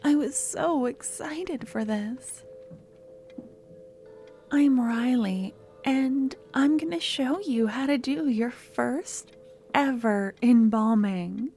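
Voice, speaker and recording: A woman playing an eager, clingy young student with a secret obsession in an ASMR roleplay, speaking softly and often slipping into breathy whispers. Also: on the high side, slow pace, soft background music. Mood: excited, smitten. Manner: soft-spoken, often dropping to breathy whispers. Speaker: lab guide teaching embalming.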